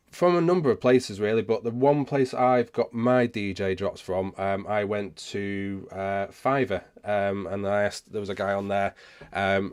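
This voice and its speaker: Low-voiced, halting man hosting a livestream. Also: smooth. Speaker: host of a live DJ Q&A session. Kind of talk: casual livestream chat, answering viewer questions.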